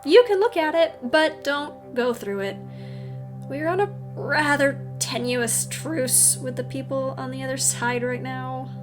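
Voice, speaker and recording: Female actor as kindly facility agent for audio roleplay: high voice, slow delivery, reassuring and explanatory. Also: over music. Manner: reassuring, gently explanatory, conversational. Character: kindly, professional woman agent at a secret psychic facility. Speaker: agency guide briefing a newcomer. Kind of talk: audio roleplay, acted monologue to the listener.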